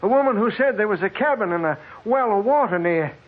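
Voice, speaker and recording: A man's voice: sits high, lively intonation, fairly quick. An actor playing a wary, skeptical man lost in the desert, in emphatic 1940s radio horror style. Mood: matter-of-fact. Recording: muffled old radio recording.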